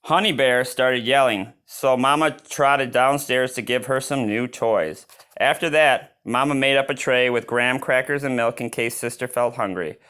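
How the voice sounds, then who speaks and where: A man reads a children's storybook aloud in an expressive manner, adding his own exclaiming asides. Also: medium pitch, normal speed, hardly any pauses, clear, resonant tone. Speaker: storybook reader.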